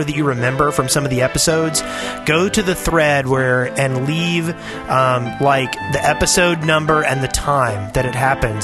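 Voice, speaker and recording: A man co-hosting a casual group podcast, talking haltingly and often repeating his words. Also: mid-range voice. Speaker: podcast co-host.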